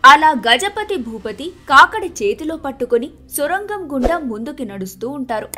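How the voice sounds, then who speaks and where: A woman narrating a moral story in a steady, clear storytelling manner. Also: high-pitched, normal speed.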